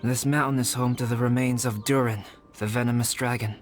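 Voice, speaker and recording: A man playing a calm, scholarly young alchemist in a video game, speaking formally and with measured care, with music behind him. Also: mid-range voice, close to an everyday voice. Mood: composed, intrigued.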